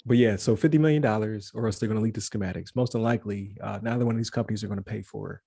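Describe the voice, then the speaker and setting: A man's voice: fairly low pitch, very fast, gravelly, mellow, breathy edge, no room sound, studio quality. A man co-hosting a casual podcast chat, joking and rambling with lots of fillers and restarts. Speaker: podcast co-host.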